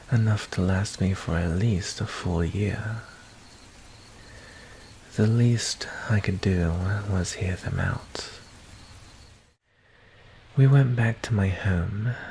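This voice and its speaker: A man voicing a calm, menacing vampire who toys with his prey, narrating an ASMR roleplay in a deliberate, hushed voice that slips into whispers. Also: lowish voice, a little hiss or hum, rough recording. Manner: slow, deliberate, hushed delivery slipping into breathy whispers.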